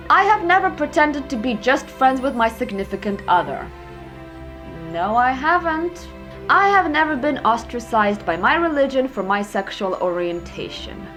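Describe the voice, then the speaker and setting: High, animated sarcastic young woman. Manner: sarcastic, profane, ranting. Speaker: YouTuber. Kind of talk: reacting to online quizzes to camera.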